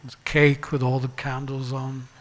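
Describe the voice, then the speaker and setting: Slow-paced man preaching in a reverberant hall. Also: mid-range voice, monotone. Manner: deliberate, pausing and repeating phrases for emphasis. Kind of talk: sermon with parables and rhetorical questions. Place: large reverberant temple hall.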